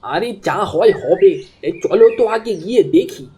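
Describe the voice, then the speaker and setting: A woman's voice: mid-range voice, lively intonation, moderate pace, warm tone, slight background noise, natural voice. A woman voicing a friendly, cautious village fisherman in a cartoon dub. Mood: agreeable. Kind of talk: cartoon dubbing.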